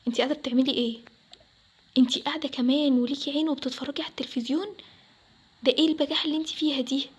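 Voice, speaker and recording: Egyptian mother played by a woman for a family story: high female voice, rapid delivery, stern and dismissive. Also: fairly even intonation, lightly acted. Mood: scolding. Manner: scolding, dismissive. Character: harsh, scornful mother who favours one daughter. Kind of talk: acted Arabic family story.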